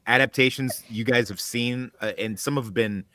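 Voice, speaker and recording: A man's voice: mid-range voice, steady tone, moderate pace, a bit bright, dry recording, clean studio sound. A man co-hosting a casual discussion, emphatic and exasperated.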